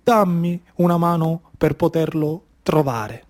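A man's voice: fairly high pitch, takes it slowly, a little mellow. A man playing a commanding Sicilian father who declaims a prayer to a saint, in a stage monologue. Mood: solemn prayer. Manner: invocatory, declaiming.

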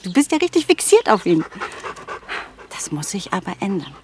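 A woman's voice: medium pitch, sing-song, normal speed, background noise, lightly acted. An actress playing a playful, chatty woman talking coaxingly to a dog in a German radio drama. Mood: playful, teasing. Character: bright young woman chattering teasingly to a dog.

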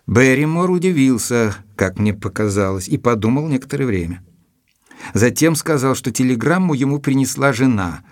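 An actor playing an observant, loyal gentleman who reports a mystery in a measured, formal, deliberate way, as in an audio drama. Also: medium pitch, lively intonation, dry recording, natural voice. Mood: measured, reflective. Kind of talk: scripted audio drama narration, reading out a letter. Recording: studio recording with music underneath.

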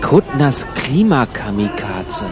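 A man's voice: medium pitch, sing-song, slow pace, music in the background. A man playing a sceptical, nagging young traveller in a casual radio play, questioning and fussy in his talk. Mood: pedantic, bickering. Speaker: narrator and travelling companion.

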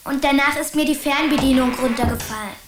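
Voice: sits high, steady tone, brisk, close to an everyday voice. A performer playing a curious, persistent young boy who asks earnest questions in a German radio drama. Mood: earnest, insistent. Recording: roomy sound, faint noise, clicks.

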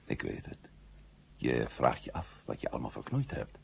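A dull vintage radio recording of a commanding space hero. His deep voice is very husky and his intonation swings widely, firm and reassuring in a deliberate way. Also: wildly swooping pitch, full character voice. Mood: hushed, soothing. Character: steady, commanding male space hero, calming a shaken comrade. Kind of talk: 1970 Dutch science-fiction radio drama acting. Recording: muffled 1970 radio drama recording.

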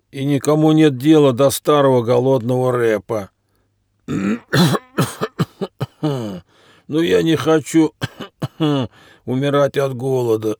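A hungry old stray dog grumbles in a wheezy voice, coughing between phrases. He is bitter and sorry for himself, and his speech is halting and plaintive. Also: mid-range voice, a little mellow, no room sound, studio quality, pitched above the performer's own voice. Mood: hungry, menacing.

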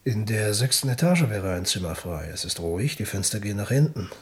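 An actor playing a courteous, knowing hotel porter in a radio play, formal with a dry, pointed manner. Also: male voice, on the low side, lively intonation, brisk, lightly acted.

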